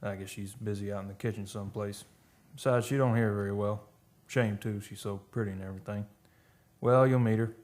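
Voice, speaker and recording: A man's voice: lowish voice, steady tone, a little fast, a little mellow, natural voice. A man playing a folksy, middle-aged retired oil-field worker, telling his story conversationally in a radio play monologue. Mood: chatty.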